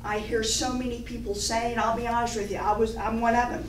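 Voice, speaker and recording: A woman, giving an inspirational spiritual teaching in a deliberate, emphatic, repetitive way. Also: on the high side, level tone. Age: 50s. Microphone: distant microphone. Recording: echoey room, distant mic, clear background noise.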